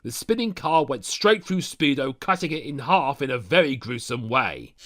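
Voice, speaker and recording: A man's voice: medium pitch, sing-song, moderate pace, a bit bright. A man narrating a scripted comic story recap, dropping sardonic asides to the listener. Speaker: narrator of a fan audio story.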